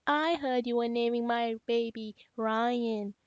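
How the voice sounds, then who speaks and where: A woman voicing a furious, entitled female boss who talks slowly and shouts her demands. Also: on the high side, steady tone, no room sound, highs cut off, pitched above the performer's own voice. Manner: slow, shouting, indignant.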